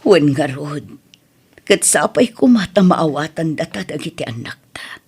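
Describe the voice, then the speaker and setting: A woman's voice: low-pitched, lively intonation, unhurried, darkish tone, slightly rough, heavily acted. A woman playing an aging mother, strict, emotional and protective, in an Ilocano family drama. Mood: wistful. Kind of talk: Ilocano family radio-style drama acting.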